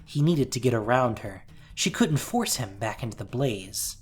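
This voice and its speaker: A woman narrating fantasy fiction in a deliberate, theatrical and intense way. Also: very deep voice, animated, husky, faint music behind.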